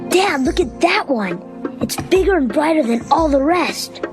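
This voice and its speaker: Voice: sits high, sing-song, a little slow, over music, voice pushed up. A performer voicing a curious young male lion cub in a storybook, eager, childlike and full of questions. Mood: curious, amazed. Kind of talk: storybook character voice over music.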